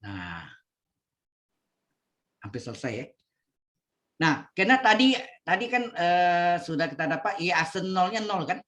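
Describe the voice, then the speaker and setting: A man's voice: high-pitched, big pitch swings, a little slow, long pauses, pushed and bright. Man lecturing math over a video call. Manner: checks in with students, repeats steps. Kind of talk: online calculus lecture. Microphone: computer mic on video call.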